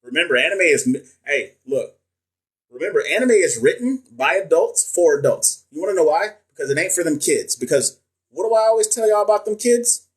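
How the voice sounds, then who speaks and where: A man's voice: on the high side, lively intonation, normal speed, husky. Joking, slangy male livestream host. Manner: loose, joking, slangy talk with frequent restarts. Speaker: livestream host and nursing exam coach. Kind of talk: casual livestream chat reading viewer comments.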